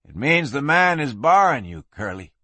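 A man's voice: medium pitch, sing-song, normal speed, sharp tone, no echo. A man voicing a hard, defiant gunslinger in an audiobook Western, terse and menacing. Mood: dry. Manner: terse, snarling.

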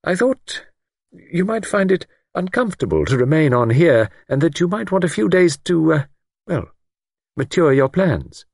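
A man plays a fussy, genteel spinster in a storybook reading, lifted well above a man's talking pitch. She frets and reproaches politely, in a fluttery, husky voice that rises and falls a lot. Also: very animated, moderate pace, darkish tone, no room sound, full character voice. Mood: hesitant politeness. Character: anxious, genteel spinster lady, fussy and fretful. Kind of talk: audiobook character acting.

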